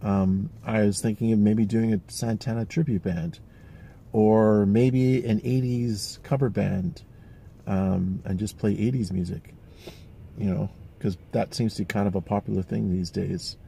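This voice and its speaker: A man's voice: on the low side, fairly even intonation, a little slow, creaky, mellow, a little hiss or hum. A man in his forties talking casually and reflectively to camera, rambling with many fillers and restarts. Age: 40s. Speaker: vlogger.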